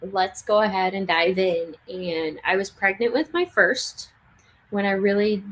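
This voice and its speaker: A woman's voice: mid-range voice, unhurried, a bit bright, rough recording. Chatty young woman losing her voice. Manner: chatty, laughs often, many restarts. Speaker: livestream speaker. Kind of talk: casual livestream Q&A chat. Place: home craft room.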